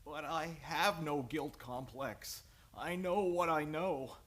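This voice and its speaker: A man playing a troubled, guilt-ridden patient with deliberate, drawn-out speech in an old-time radio play. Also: on the high side, fairly bright tone. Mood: troubled, insistent. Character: troubled, guilt-ridden male mental patient, insistent and dazed.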